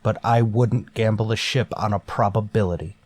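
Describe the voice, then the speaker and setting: A man's voice: fairly low pitch, steady tone, a little mellow, lightly acted. Stern, wary male starship captain played by an actor. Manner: measured, authoritative, curt orders. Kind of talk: golden age sci-fi radio drama acting.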